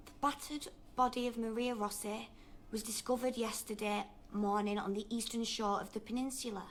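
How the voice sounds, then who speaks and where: Radio drama role: actress as brisk, sceptical young woman friend, fairly high voice, faint noise behind. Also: steady tone, natural voice. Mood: curious, doubting. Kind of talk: naturalistic radio drama acting.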